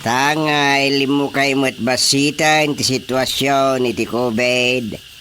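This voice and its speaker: A man's voice: mid-range voice, fairly even intonation, takes it slowly, over music, natural voice. A man with a Filipino accent acting a chatty, friendly fellow in a radio drama. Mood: eager.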